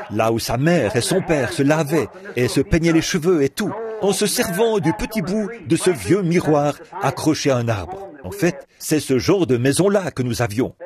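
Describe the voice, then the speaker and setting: Animated man preaching a sermon. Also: on the high side. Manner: lively preaching cadence with repeated words. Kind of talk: French reading of a translated sermon.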